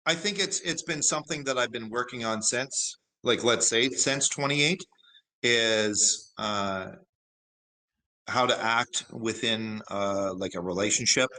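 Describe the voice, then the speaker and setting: A man in his forties with a big, carrying voice, a former broadcaster, talking thoughtfully in a casual interview with many pauses and restarts. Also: mid-range voice, pushed and bright, low-quality recording. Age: 40s. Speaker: guest.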